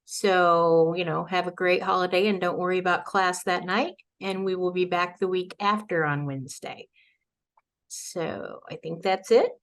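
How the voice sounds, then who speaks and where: A woman's voice: fairly low pitch, steady tone, measured pace, fairly bright tone. Friendly woman making class announcements. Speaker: class coordinator.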